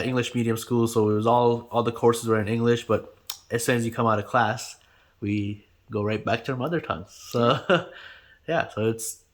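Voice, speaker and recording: A man in his twenties, Gujarati native speaker from India, chatting casually in an interview with lots of fillers and easy laughter. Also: mid-range voice. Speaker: channel host being interviewed.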